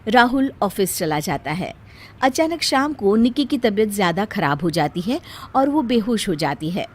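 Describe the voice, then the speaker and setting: A woman's voice: medium pitch, sing-song, moderate pace. A woman storyteller reading a scripted family tale as narration.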